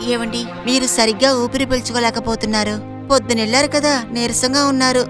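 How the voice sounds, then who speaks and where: A woman voicing a concerned, hardworking mother in an animated moral story. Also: on the high side, fast, over music, lightly acted.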